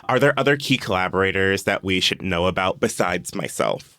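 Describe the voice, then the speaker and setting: A man in his thirties interviews in a conversational way. His voice is low and the recording is very dry. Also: animated, brisk, sharp tone, no room sound. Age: 30s. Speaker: podcast interviewer.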